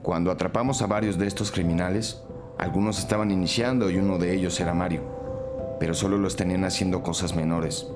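An assured male detective acted by a man briefs his team in a crime audio drama. His voice is very deep, with music clearly behind it. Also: fairly even intonation, brisk, lightly acted. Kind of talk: scripted audio drama acting.

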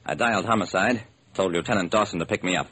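An actor playing a brisk, determined hard-boiled male private detective, narrating and acting in a classic radio crime drama. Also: low-pitched, bright, forceful tone, heavily acted. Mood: wry, brisk. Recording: old, slightly rough radio recording.

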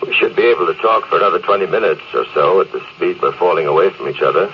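Casting: ambitious space officer, low voice, stoic and commanding, classic radio drama, dull hissy old recording. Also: male voice, expressive, fast. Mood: grave, reflective. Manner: commanding, self-important. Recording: muffled old radio broadcast, faint hiss.

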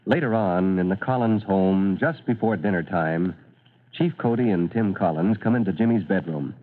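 A man's voice: sits low, moderate pace. A man narrating a scripted serial in an old-time radio announcing style. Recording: narrow-band old radio recording.

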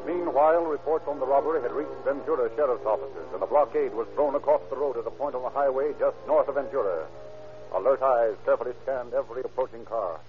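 A man's voice: mid-range voice, fast talker, music in the background, lightly acted. A man performing as a formal, dramatic narrator of an old radio crime drama. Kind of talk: scripted 1930s-40s radio narration. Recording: tinny old radio recording.

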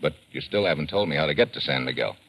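A man's voice: extremely low pitch, animated, fast, a bit bright, full character voice. An American man playing a tough, terse government agent in a clipped, businesslike 1940s radio drama style. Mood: probing, firm. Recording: noisy old radio recording.

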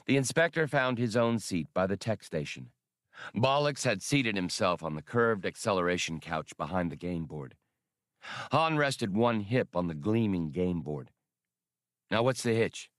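A man's voice: sits low, animated, moderate pace, fairly bright tone, dry recording, clean studio sound. A man reading adventure fiction as an audiobook narrator, in a scripted, dramatic storytelling style.

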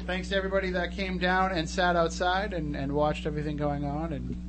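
Male talk radio host, fairly high voice, casual banter, noisy humming recording. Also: little pitch movement, fairly quick. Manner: joking, chatty. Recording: noticeable hum and background noise.

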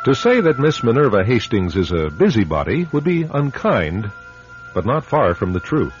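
A man hosting and narrating a radio drama, reading scripted introductions in a measured, wry way. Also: mid-range voice, very animated, over music. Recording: dull, band-limited radio recording.

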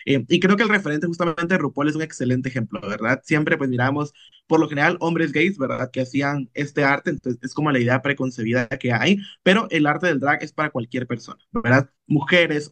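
Male podcast guest, Guatemalan accent, slightly high pitch, dry close sound, conversational explainer. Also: fairly bright tone, no echo. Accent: Guatemalan Spanish. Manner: explanatory, often adding tag questions to check understanding. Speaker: podcast guest, drag artist.

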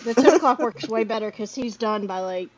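A woman's voice: fairly high pitch, fairly quick. A woman chatting casually in a group call, laughing as she talks. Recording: loud background noise.

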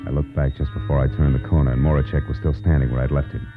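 A man's voice: extremely low pitch, little pitch movement, fast. A man playing a tough, wisecracking private detective in a 1940s radio drama, with a brusque, slangy, sardonic delivery. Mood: dry narration. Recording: muffled old radio recording with music.

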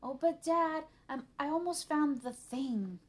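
A woman voicing an eager, anxious schoolboy in a children's storybook, pleading and excitable. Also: high voice, measured pace, voice pushed up.

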